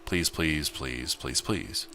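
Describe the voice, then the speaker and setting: Visual novel kid role: excitable, blunt little boy who nags, read by a very deep-voiced man. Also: slow pace, a little hiss or hum, full character voice. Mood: pleading. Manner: pleading, excitable. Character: eager little boy, nagging and bluntly innocent.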